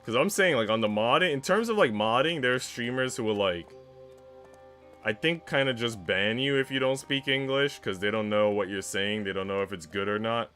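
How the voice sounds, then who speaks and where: A man's voice: fairly low pitch, moderate pace, pushed and bright, soft background music. A man livestreaming in casual, conversational chat with his viewers. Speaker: livestreamer reading visual novels.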